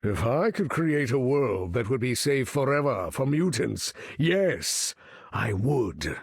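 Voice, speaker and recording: Husky, slow, grandly menacing mutant leader. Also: male voice, medium pitch, big pitch swings, no room sound, heavily acted. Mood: solemn, measured. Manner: grandiose, measured, theatrical delivery. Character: proud, menacing mutant leader, commanding supervillain with grand bearing. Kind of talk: video game character voice lines.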